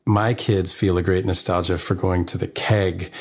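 A man's voice: sits low, moderate pace, slightly rough. A man hosting a podcast, introducing his guest and chatting casually in a long-form interview. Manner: relaxed conversational, with fillers. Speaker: podcast host.

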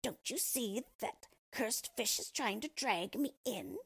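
A woman's voice: a little high, very animated, a little slow, creaky, slightly rough, voice pushed up, exaggerated voice. A woman voicing a cranky, ungrateful old male dwarf in a storybook, screaming, snapping and scolding. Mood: snappish.

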